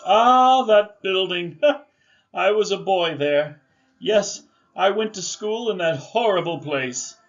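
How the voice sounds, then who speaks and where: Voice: medium pitch, animated, takes it slowly, lo-fi sound. An actor playing a frightened, regretful old man in a radio drama, stammering and exclaiming with emotion. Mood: amazed, nostalgic. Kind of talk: family radio drama acting.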